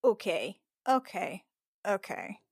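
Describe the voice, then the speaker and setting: A woman's voice: medium pitch, wildly swooping pitch, slow, gravelly, darkish tone, breathy edge, no echo. A woman casually improvising as a player in a tabletop game, with an informal, conversational manner.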